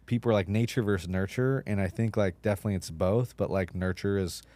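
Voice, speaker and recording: A man's voice: lowish voice, fast, warm tone, no room sound. A man in his thirties, a podcast guest whose first language is Spanish, talking casually with lots of likes and you-knows. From United States, Mexican immigrant mother.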